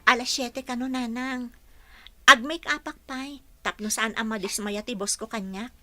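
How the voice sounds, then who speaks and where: A woman's voice: on the high side, fairly even intonation, normal speed, sharp tone, no echo. A woman acting a dutiful, self-assured young housekeeper in an Ilocano radio drama. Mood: earnest. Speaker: voice actress in a radio play.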